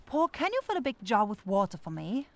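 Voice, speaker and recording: A woman's voice: medium pitch, sing-song, brisk. A woman playing a flustered, scatterbrained but caring young forest witch who dithers and searches for words in a fantasy roleplay audio drama. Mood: focused, muttering.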